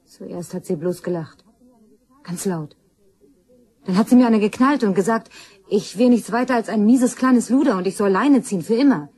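An actress playing a blunt, brazen young mistress in a crime radio play, flippant and colloquial. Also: female voice, medium pitch, normal speed, a little mellow, slightly rough, close to an everyday voice.

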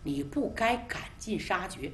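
A woman's voice: mid-range voice, animated, unhurried, fairly bright tone, slight background noise. A woman voicing a cornered defiant prince. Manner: defiant, pleading. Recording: roomy echo.

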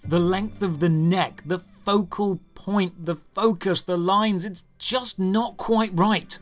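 A man hosting a video game review, reading scripted comedic narration with a dry, sardonic and emphatic delivery. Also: high-pitched.

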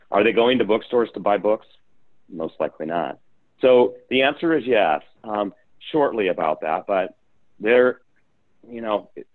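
Man, low voice, phone-like video call audio, conversational investor, restarts mid-sentence. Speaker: real estate investor and developer guest. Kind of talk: panel discussion on a video call.

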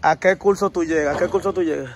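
Dude interviewing people outside, talks right to the viewers, traffic noise around. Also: male voice, on the high side, quick pace. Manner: addresses viewers directly, repetitive. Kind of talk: street interview. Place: outdoors on a residential street. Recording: outdoor street noise.

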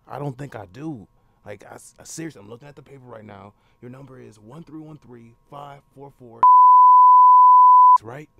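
Gravelly young man, rambling and insistent. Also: medium pitch, normal speed, pushed and bright, poor audio. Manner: rambling, insistent, repeats himself. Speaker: prank caller. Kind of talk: improvised prank phone call.

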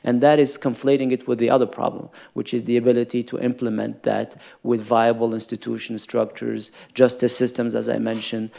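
A man answering as an expert panelist on stage, formal and measured, halting as he repeats words. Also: mid-range voice, flat delivery, creaky, mellow. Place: stage in an auditorium.

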